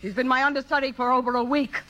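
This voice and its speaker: Proud wounded stage star, high bright voice, biting sarcasm, theatrical, vintage radio drama. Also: female voice, steady tone, fast talker. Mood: indignant. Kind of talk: 1950s radio drama acting. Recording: vintage 1950s radio broadcast.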